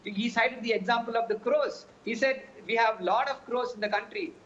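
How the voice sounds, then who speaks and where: A man's voice: very high-pitched, moderate pace, fairly bright tone. Man, emphatic debate guest, muffled lo-fi line with light hiss. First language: Tamil. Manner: emphatic, speech-like. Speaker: party representative. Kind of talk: TV debate argument. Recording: dull lo-fi remote feed with faint noise.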